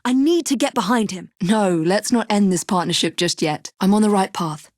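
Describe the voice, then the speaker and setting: An actress playing a young Victorian assassin in a video game, with an English accent and crisp, formal, dryly composed delivery. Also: female voice, mid-range voice, normal speed, darkish tone, no room sound, close to an everyday voice. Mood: composed, resolute. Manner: crisp, articulate, formal, dry. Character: determined, sharp-witted young female Victorian assassin, composed and dry.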